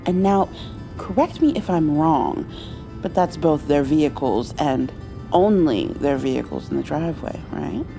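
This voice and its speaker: A woman acting as a caring mom in a comfort ASMR roleplay. Her voice is mellow and a little low, with a lot of creak. She is gentle and playful, speaking tenderly as if to her grown child. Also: expressive, faint music behind, full character voice. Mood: playful, scheming. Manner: gentle, halting, soothing, tender endearments. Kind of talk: intimate comfort ASMR roleplay, acted as one side of a conversation.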